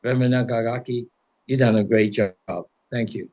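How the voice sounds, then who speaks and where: A man in his eighties from the United States giving a halting memorial speech in short phrases as a guest speaker. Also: mid-range voice, fairly even intonation, darkish tone, highs cut off. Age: 80s.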